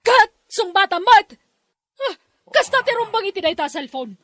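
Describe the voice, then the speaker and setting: A woman playing a sharp-tongued, jealous, domineering wife and stepmother in an Ilocano radio drama, scolding and curt. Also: very high voice, expressive, normal speed, no echo, studio quality. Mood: angry, accusing.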